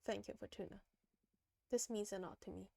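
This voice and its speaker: A woman voicing a grave, formal ancient behemoth from a video game, speaking in a solemn, measured manner. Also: mid-range voice, steady tone, vocal fry, warm tone. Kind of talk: reading video game dialogue aloud.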